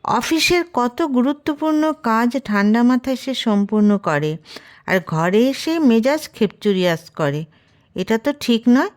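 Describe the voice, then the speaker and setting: A woman reading a short story as scripted narration, expressive and gently wry. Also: on the high side, unhurried.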